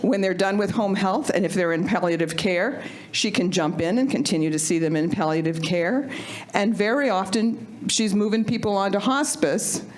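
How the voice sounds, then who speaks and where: A woman manager in home health and hospice, speaking up from a meeting room audience without a microphone in an upbeat way, laughing often and calling herself loud. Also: lowish voice. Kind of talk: panel discussion audience comment. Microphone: no microphone, picked up from a distance.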